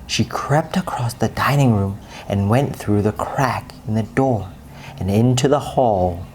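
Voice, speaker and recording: A woman narrating a children's bedtime story expressively, with dramatic pauses and deliberate emphasis. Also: rumbling low, a little mellow, slight background noise.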